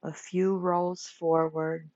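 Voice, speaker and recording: A woman teaching guided meditation, giving gentle instructions in short phrases with pauses between them. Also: deep voice, flat delivery. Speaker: yoga nidra meditation teacher. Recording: muffled lo-fi recording.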